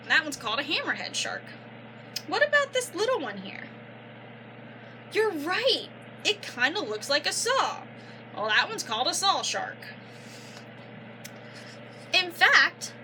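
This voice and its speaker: A young woman reading a children's picture book aloud like a teacher, pausing to explain and prompt with questions. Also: extremely high pitch, sharp tone, slight background noise, poor audio. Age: young adult. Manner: instructive, prompting listeners with questions and affirmations.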